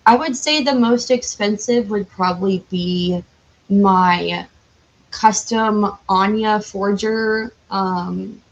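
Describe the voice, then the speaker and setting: A woman's voice: mid-range voice, slow. A chatty, exuberant woman talking casually as a podcast guest, with a slightly muffled sound. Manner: chatty, self-described loud and exuberant. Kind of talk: casual chat.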